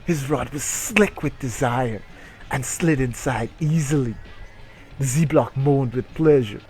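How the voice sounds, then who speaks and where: A man's voice: medium pitch, takes it slowly, darkish tone. A man reads a story aloud in a mock-dramatic style, taking his time. He is crude and irreverent, comic in his delivery, with prominent music playing behind him. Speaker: reader and comedic streamer.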